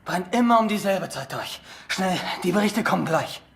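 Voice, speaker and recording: A man acting a brisk, commanding German soldier at a radio post in a video game. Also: high-pitched, expressive, vocal fry, fairly bright tone, exaggerated voice. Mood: irritated.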